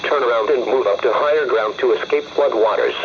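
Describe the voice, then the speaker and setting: A computer-generated male announcer with a mid-pitched voice reads a formal emergency weather bulletin. The delivery is scripted and impersonal. It comes over a dull, low-fidelity radio signal with faint noise behind it. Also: a little fast. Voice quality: synthetic text-to-speech voice. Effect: weather radio broadcast. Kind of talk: scripted weather alert reading.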